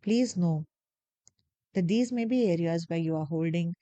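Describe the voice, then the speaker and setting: Woman, meditation guide, mellow warm tone, dry close sound, slow gentle pacing, calm. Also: fairly low pitch, dry recording, lo-fi sound. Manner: slow, soft, calm instructions with long pauses.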